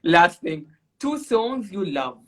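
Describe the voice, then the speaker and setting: A man's voice: sits high, sing-song, slow pace, a bit bright. A man hosting an interview, upbeat and guiding the segments. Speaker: talk show host.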